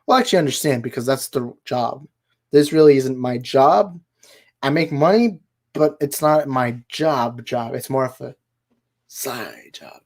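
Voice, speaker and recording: A man hosting a casual live movie chat, his voice hoarse and worn out, rambling and correcting himself as he goes. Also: mid-range voice, measured pace, darkish tone. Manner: rambling, self-correcting, laughs easily. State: hoarse, tired voice. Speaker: livestream host.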